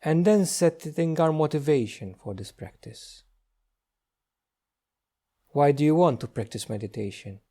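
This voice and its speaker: A man leading a guided meditation as a teacher, speaking gently and slowly with long pauses. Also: fairly high pitch. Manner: slow, hushed, gentle delivery with long pauses.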